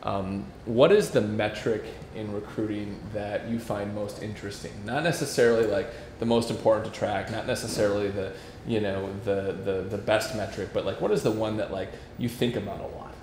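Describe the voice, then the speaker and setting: Flat-voiced man moderating a panel. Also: lowish voice, normal speed, hardly any pauses. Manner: relaxed, many fillers and restarts. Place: event room before an audience. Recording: echoey room with faint noise.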